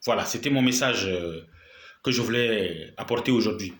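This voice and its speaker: A man with a cold delivers a spoken video statement, his throat sore but his tone bright and sharp. The room around him rings with echo. He presses his points with indignation, often repeating himself for weight. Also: on the low side, moderate pace. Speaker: political commentator.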